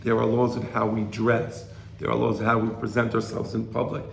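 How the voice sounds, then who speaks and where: A slightly hoarse man giving an informal religious lecture to a study group, explaining and checking in with rhetorical questions. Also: lowish voice, completely flat delivery, fairly quick. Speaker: Torah teacher. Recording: roomy echo, faint background noise.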